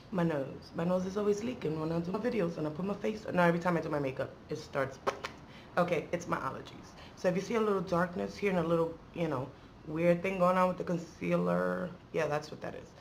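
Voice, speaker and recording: Playful woman vlogger with a creaky voice. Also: on the low side, a little hiss or hum. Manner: playful, slangy, rambling with asides. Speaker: beauty vlogger and channel host. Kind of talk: casual chatty unboxing vlog.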